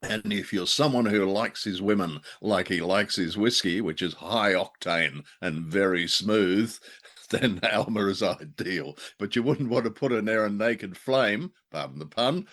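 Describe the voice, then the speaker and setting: A man's voice: on the low side, a little slow, fairly bright tone. A man chatting casually and jokily as co-host of a review show, fond of playful wordplay.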